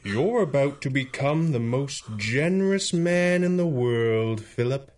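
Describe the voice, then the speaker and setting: A male actor plays an implacable masked avenger in a period radio adventure. He speaks slowly in a mid-pitched voice, each phrase deliberate and grave. His manner is cold menace, like one who holds power over minds. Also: lively intonation, a little mellow, natural voice. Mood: cold, commanding. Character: stern, implacable masked hero with mind powers. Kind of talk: 1930s-set radio superhero drama acting.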